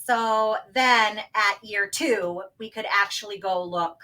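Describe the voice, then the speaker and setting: A woman's voice: medium pitch, takes it slowly, pushed and bright, rough recording. A woman in a casual podcast interview, explaining home financing step by step with many fillers. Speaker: guest, home financing expert.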